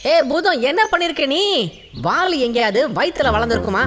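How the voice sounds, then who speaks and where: High-pitched cheeky monkey. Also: female voice, animated, a little fast, fairly bright tone, faint music behind. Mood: exasperated. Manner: whiny, exasperated, bossy. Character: cheeky, complaining male monkey, mischievous troublemaker. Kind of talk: children's cartoon dubbing.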